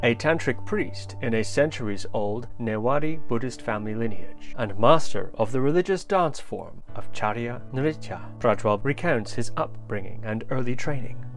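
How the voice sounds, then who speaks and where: A man hosting an interview podcast, speaking in measured, courteous and formally worded sentences. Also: medium pitch, expressive, faint music behind. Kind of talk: scripted introduction, then interview questions.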